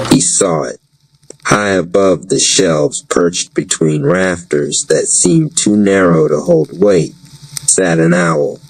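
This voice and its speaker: A man narrates a story in spoken-word style with a deep voice. His delivery is solemn, measured and slow. Noise sits audibly behind him.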